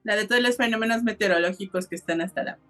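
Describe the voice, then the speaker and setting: A woman's voice: mid-range voice, quick pace, a bit bright. A woman with a Mexican Spanish accent co-hosting a podcast in casual, exclamatory chat. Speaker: podcast co-host.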